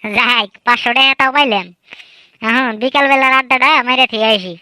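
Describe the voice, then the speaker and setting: A woman's voice: on the high side, brisk, sharp tone. A woman voicing a sly, boastful, lazy teenage village son in a comedy cartoon, chatty and colloquial. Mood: scheming.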